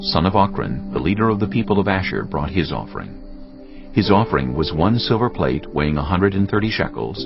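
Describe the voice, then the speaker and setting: Male narrator, lively intonation, solemn deliberate phrasing, scripture audiobook, clear music behind, slightly muffled sound. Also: very deep voice.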